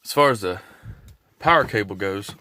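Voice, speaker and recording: A man's voice: fairly low pitch, expressive, normal speed, background noise. A man giving a casual, hands-on video walkthrough in a laid-back, folksy way. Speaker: hobbyist vlogger showing a truck install.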